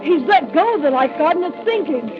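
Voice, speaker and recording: An anxious young newspaperwoman from 1940s radio. Her voice is high and very animated. The vintage recording is dull, with music clearly present. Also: fast. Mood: alarmed. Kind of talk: 1940s radio drama acting.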